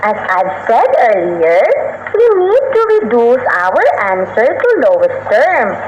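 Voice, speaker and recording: A woman's voice: medium pitch, very animated, measured pace, slight background noise. A Filipino woman playing a patient young pupil who carefully tutors classmates in an educational radio drama. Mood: patient, instructive. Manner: careful, instructive, repeating key points. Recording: dull radio audio, echoey, music behind.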